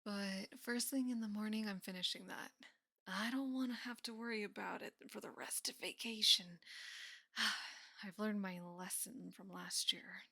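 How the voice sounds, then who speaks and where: Girl acting as a college best friend, soft n teasing, slow pace, whispery bits, close dry sound. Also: mid-range voice, fairly even intonation, gravelly, no room sound, full character voice. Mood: amused, reluctant. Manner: soft, often dropping into whispers, sighing. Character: young woman college student, teasing, affectionate best friend. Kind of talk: intimate roleplay audio acting, casual and soft.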